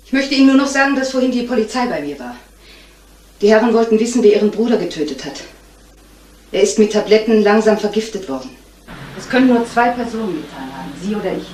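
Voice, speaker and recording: A self-possessed divorced fiancée in a retro radio crime play, acted by a woman. She speaks plainly and with composure at a middling pitch. The sound is roomy and set back from the microphone, with some hiss and noise. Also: lively intonation, fast, darkish tone, close to an everyday voice. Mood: firm, controlled. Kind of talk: 1970s German radio crime drama acting. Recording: roomy, distant, noisy old radio recording.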